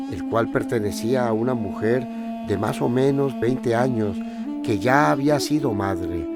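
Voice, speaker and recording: A man hosting a cooking program, narrating in a calm, reflective, poetic way, from Colombia. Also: mid-range voice, vocal fry. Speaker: host and cook. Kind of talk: scripted narration over a cooking show.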